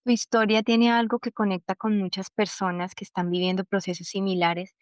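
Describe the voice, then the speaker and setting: A woman from Cuba hosting a podcast interview, warm and affirming with her guest. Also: mid-range voice, level tone, brisk, clear, resonant tone, dry recording, studio quality. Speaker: podcast host.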